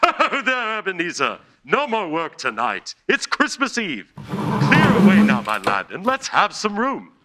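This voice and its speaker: A man's voice: huge pitch swings, unhurried, very noisy, exaggerated voice. A man playing a jolly, hearty old master in a radio play, calling out exuberantly. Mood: jolly, bustling. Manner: exuberant, booming exclamations, chuckling.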